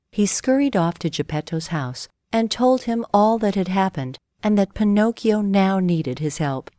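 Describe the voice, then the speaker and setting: A woman's voice: on the low side, mellow, no room sound. A woman reading a scripted children's story as a storyteller.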